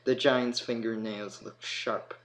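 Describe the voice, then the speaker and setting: A man's voice: lowish voice, little pitch movement, slow, fairly bright tone, rough recording. A man reading scripted storybook narration as the narrator. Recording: noticeable background noise.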